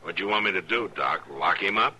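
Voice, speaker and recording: An actor playing a steady, weary frontier marshal, American, terse and firm, in an old radio western. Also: male voice, sits low, a little fast, heavily acted. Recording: faintly noisy old radio recording.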